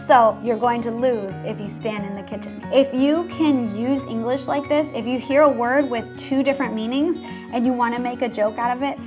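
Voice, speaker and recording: A woman's voice: a little high, steady tone, moderate pace, over music. Woman explaining English phrases in voice-over. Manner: clear, explanatory. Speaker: English teacher and host. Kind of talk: voice-over lesson.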